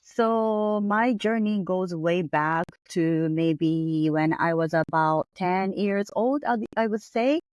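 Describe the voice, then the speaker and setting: A woman's voice: lowish voice, takes it slowly, hardly any pauses, darkish tone, clear, resonant tone, no room sound, lo-fi sound. A woman from Japan with a Japanese accent, a therapist guest on a podcast, speaking thoughtfully with many fillers and restarts. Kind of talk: podcast interview.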